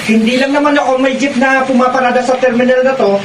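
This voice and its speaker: Fast, high-pitched brusque Filipino jeepney driver. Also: male voice, fairly even intonation, roomy sound, noisy background. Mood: brusque, hurried. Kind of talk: Filipino radio drama acting.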